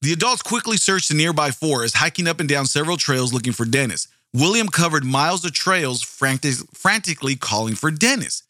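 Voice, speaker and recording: Booming, forceful man telling a story. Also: fairly quick, hardly any pauses, dry recording, studio quality. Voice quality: booming projecting voice. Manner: animated, profane, repeats words. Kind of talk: casual true-crime storytelling.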